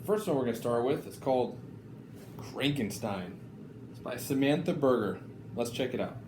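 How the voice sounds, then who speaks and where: A man reading picture books aloud to children in an animated, deliberate storytelling style. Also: medium pitch. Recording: echoey room, faint background noise.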